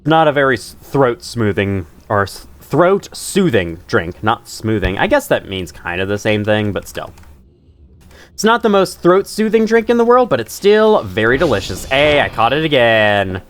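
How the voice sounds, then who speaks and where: A young man talks through a game in loose, rambling commentary, swearing now and then. His nose sounds stuffy, and his pitch swings widely up and down as he chats. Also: very animated, moderate pace. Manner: rambling, chatty, swears casually. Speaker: gaming channel host. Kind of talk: casual let's-play commentary.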